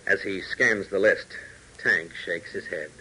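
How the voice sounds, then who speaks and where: An American man announcing and narrating a 1940s radio serial in an emphatic, dramatic, husky voice. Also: sits low, unhurried, bright, forceful tone, rough recording.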